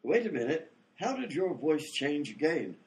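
Man acting a high, dithering gentleman inventor. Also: sing-song, normal speed. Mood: flustered. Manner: polite, fussy, flustered, old-fashioned exclamations. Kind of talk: old-time radio comedy acting.

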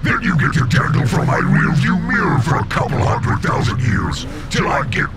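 A man voicing a menacing, sadistic demon in a comic drama, with a gravelly growl. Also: mid-range voice, huge pitch swings, normal speed, over loud music. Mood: sadistic, threatening. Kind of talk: scripted comic-book voice acting.

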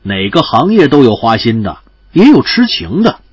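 A man's voice: medium pitch, sing-song, moderate pace, husky, no echo. A man playing a teasing, brash older cousin in an audio drama, chatty and boastful. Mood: blustering.

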